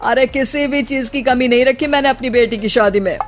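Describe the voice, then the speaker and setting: A woman's voice: high voice, a little fast, music in the background, pitched above the performer's own voice, close to an everyday voice. A woman voicing a boastful, bossy, hot-tempered middle-aged father in a cartoon story. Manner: blustering, commanding.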